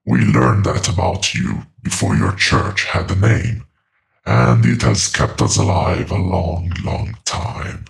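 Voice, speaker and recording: An age-old male demon for horror audio drama: heavy creaking fry, unhurried, calmly menacing and sardonic. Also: deep voice, heavily acted. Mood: cold, patient. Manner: menacing, calm, deliberate, mocking. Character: ancient patient male demonic entity living in walls.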